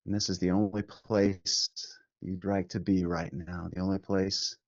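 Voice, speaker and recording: Guy doing guided meditation, really slow and soothing, dry close sound, kinda muffled recording. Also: low-pitched, little pitch movement, no echo. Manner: soothing, unhurried, gentle instruction with long pauses. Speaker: meditation teacher.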